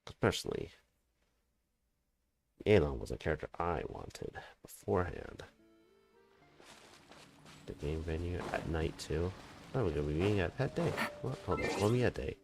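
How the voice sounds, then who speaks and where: A man's voice: deep voice, animated, moderate pace, gravelly, long pauses, music in the background. A man chatting casually on a gaming livestream, talking in slang and swearing freely. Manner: slangy, swearing, scat-singing to himself. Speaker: game streamer.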